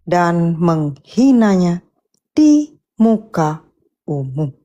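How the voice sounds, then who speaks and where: Slow, mellow woman reading scripture. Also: fairly low pitch, big pitch swings, muffled recording. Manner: deliberate, phrase by phrase. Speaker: scripture reader assisting the preacher. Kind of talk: reading Bible verses aloud.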